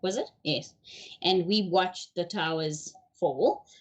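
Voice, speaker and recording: A woman's voice: fairly low pitch, takes it slowly. A woman hosting a casual online interview, asking questions with small apologetic asides over a video call. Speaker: host.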